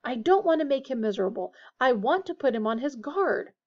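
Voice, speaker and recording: A woman's voice: sits high, very animated, brisk, muffled recording. A woman reading a storybook and voicing an earnest, protective middle-aged father who speaks insistently. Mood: heated, worried.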